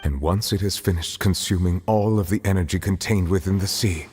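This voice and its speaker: Man playing a deep, solemn, dignified judge. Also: a little mellow, soft background music, lightly acted. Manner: formal, measured, grave. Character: solemn, dignified, formal male judge, calm and grave. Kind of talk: video game voice acting. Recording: long hall reverb, faint noise.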